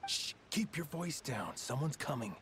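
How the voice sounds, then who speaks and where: A man's voice: a little high, big pitch swings, a little slow, very noisy. A man voicing a suspicious, secretive soldier in a video game, with a firm, conspiratorial delivery. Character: suspicious, secretive male soldier, sure of himself.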